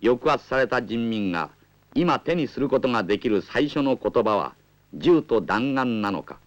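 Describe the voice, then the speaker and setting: A man's voice: mid-range voice, animated, normal speed, lo-fi sound. Man narrating with wide, rhetorical intonation. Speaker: documentary narrator. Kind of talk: scripted political narration.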